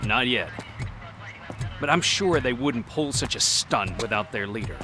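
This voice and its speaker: A man's voice: medium pitch, animated, measured pace, natural voice. A man playing a curt, commanding and territorial SWAT police officer in a video game. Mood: dismissive, briefing.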